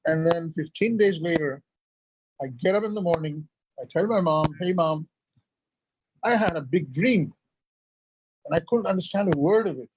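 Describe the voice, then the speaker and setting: A man's voice: on the high side, animated, moderate pace, pauses often, darkish tone, husky, dry recording. Man from India, native Urdu speaker. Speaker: guest. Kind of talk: conversational interview. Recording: lo-fi, dull sound.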